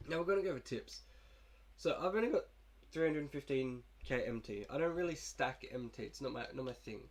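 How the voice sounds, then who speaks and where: A man's voice: mid-range voice, lively intonation, a little fast. A young man with a cold, casually talking through his video game team in a laid-back, rambling way. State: sick, coughing. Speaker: gaming YouTuber. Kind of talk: casual gameplay commentary.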